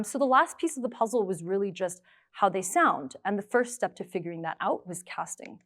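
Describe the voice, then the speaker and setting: Woman presenting on stage. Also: medium pitch. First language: English. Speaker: creative producer, presenter. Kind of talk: prepared conference presentation. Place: indoor studio stage. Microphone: clip-on lapel mic.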